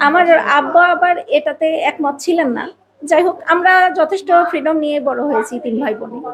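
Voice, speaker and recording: A woman's voice: high voice, fairly quick, a crowd in the background. A woman talking casually and emphatically as a podcast guest, repeating phrases to make her point. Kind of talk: casual personal conversation.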